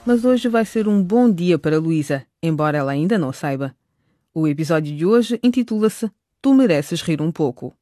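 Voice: medium pitch, expressive, moderate pace, dark tone. A narrator reading a scripted recap for a radio drama.

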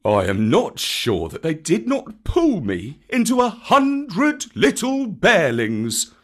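Guy doing a big soft-hearted bear, loud and emotional, raised voice. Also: medium pitch, very animated, slow pace. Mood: grave. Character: big old bear, gruff and tender-hearted.

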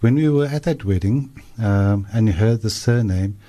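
Male guest, low and mellow, anecdotal, easygoing. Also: moderate pace.

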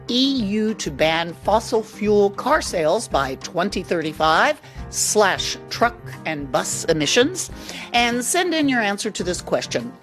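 Woman, radio host, animated intonation, medium pitch, expressive scripted delivery, faint music. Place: radio studio.

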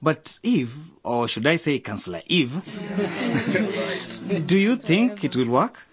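An easygoing man chivvies people along in a radio drama. His mid-pitched voice is lively and cajoling, with group chatter clearly behind him. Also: takes it slowly, dull audio. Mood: upbeat.

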